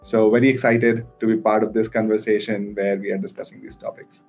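A man's voice: on the low side, level tone, fairly quick, darkish tone, soft background music. A man, an HR director, introducing himself earnestly on a panel podcast.

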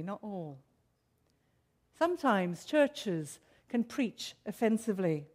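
A woman with a South African accent preaches to her congregation. She sets out scripture in a measured, earnest way, and her voice sits a little on the high side. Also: darkish tone. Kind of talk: sermon.

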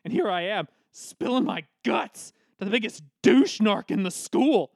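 A performer playing a distraught, guilt-ridden teenage boy, the class clown, halting and overwrought in a comedic audio drama. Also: medium pitch, expressive, gravelly, dry recording, clean studio sound. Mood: anguished, despairing.

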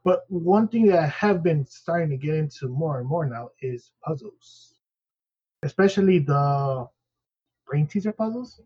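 A man's voice: on the high side, lively intonation, measured pace, dark tone, breathy edge. A man co-hosting a podcast in casual chat, rambling with many fillers and restarts, English not his first language. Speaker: podcast co-host. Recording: dull lo-fi sound.

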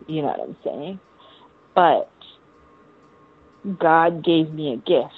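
An American woman around thirty chatting casually as a musician being interviewed, with an animated, slangy, effusive manner. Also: deep voice, slightly rough, phone-quality sound. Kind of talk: casual chat.

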